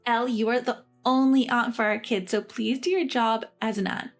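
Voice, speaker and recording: A woman's voice: on the high side, steady tone, normal speed, faint music behind, close to an everyday voice. A woman voicing an entitled, snide sister-in-law who talks down to others. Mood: condescending. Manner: condescending, needling.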